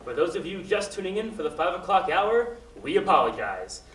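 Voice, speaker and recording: A man playing a calm, polished radio announcer keeping things steady in a student radio play. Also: sits high, a little fast, recorded from a distance, slight background noise. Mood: formal. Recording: roomy stage recording, off mic.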